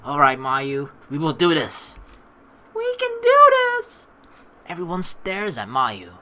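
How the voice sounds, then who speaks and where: A man reading a game aloud as a let's play host, with excitable commentary and dramatic narration. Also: on the high side, slow, very noisy.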